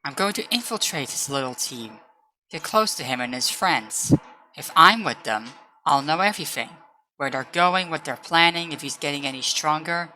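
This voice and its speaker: A woman's voice: sits low, expressive, moderate pace, sharp tone, a little hiss or hum, rough recording. A woman voicing a blunt, loyal female comrade in a dramatic roleplay, firm and earnest. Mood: firm, determined. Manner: firm, scolding yet earnest. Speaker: voice actor.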